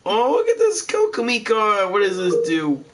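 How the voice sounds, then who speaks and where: A man streams a video game walkthrough. His voice is high for a man, and he reads instructions and game text aloud as he goes. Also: wildly swooping pitch, normal speed, a bit bright, slight background noise.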